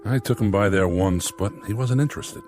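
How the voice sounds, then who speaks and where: A man's voice: on the low side, a little fast, a little mellow, faint music behind, lightly acted. An actor playing an impatient man with a blunt, casual manner, fed up with an old relative, in a radio drama.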